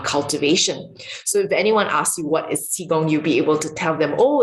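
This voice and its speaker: A woman from Singapore, a qigong and yoga teacher, calmly giving an online lesson with some filler ums. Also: deep voice. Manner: calm, explanatory, with filler ums. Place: home room with marble floor. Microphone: camera mic, video recording. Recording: echoey room.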